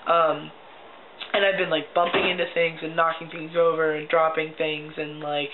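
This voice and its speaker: A man's voice: a little high, flat delivery, normal speed. A young man with a cracking voice, talking casually and upbeat in a video diary, full of ums and likes. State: voice cracking often. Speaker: vlogger. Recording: tinny lo-fi audio with faint hiss.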